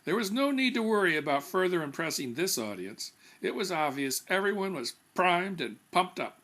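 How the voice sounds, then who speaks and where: A man reading his own comic fiction aloud as an author, with animated, emphatic storytelling. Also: high-pitched, moderate pace. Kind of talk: expressive scripted narration of comic fiction.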